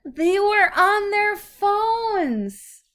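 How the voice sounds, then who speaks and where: Very slow, patient woman reading aloud. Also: extremely high pitch, sing-song, fairly bright tone. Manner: patient, simple teacherly phrasing. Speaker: adult reading a story aloud to a young child. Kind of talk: slow read-aloud storytelling with simple explanations to a child.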